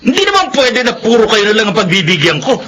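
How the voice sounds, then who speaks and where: A ruthless Filipino moneylender in a radio drama. His voice is high and very sharp, and he talks with scornful, domineering menace. There is slight noise behind him. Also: very animated, quick pace, exaggerated voice. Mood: threatening. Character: ruthless, bullying moneylender, husband and father.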